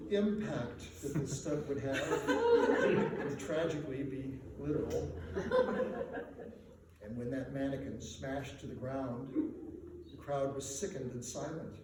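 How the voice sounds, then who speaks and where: Guy reciting funny rhyming story on stage, theatrical, highish voice, really echoey room, mic kinda far off. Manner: theatrical, measured delivery with emphatic swells. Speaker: storyteller performing for an audience. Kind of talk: dramatic recitation of comic rhyming verse. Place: live performance before a laughing audience in a reverberant room. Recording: echoey room, distant mic, faint noise.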